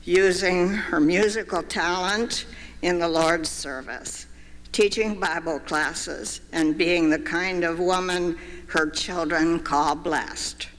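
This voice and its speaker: An older man delivers a memorial tribute in a measured, read-aloud way. His voice sits high and bright for a man. Long church reverb trails every phrase. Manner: measured, reads prepared remarks. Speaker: eulogy speaker. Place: church sanctuary.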